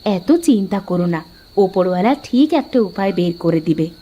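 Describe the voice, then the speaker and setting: A woman's voice: medium pitch, animated, normal speed, warm tone, highs cut off, pitched below the performer's own voice, close to an everyday voice. A woman voicing a caring, mildly chiding village wife and mother in a cartoon. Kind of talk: cartoon voice acting.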